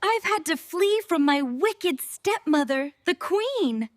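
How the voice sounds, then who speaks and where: Woman acting as sweet young cartoon princess, very high voice, unhurried, gentle, polite, trusting. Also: expressive, dry recording, clean studio sound, heavily acted. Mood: hopeful. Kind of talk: animated fairy tale acting.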